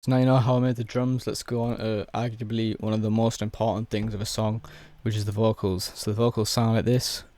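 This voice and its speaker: Hoarse young man, flat and low. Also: a little fast, hardly any pauses, dry recording. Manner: laid-back, explains step by step with many fillers. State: hoarse, losing his voice. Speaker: music producer. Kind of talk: casual software tutorial.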